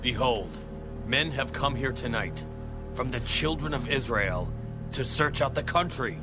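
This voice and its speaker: An actor playing a commanding royal messenger in a dramatized audio Bible, declamatory and insistent. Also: male voice, mid-range voice, lively intonation, a little slow, lightly acted. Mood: alarmed. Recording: loud music under the voice.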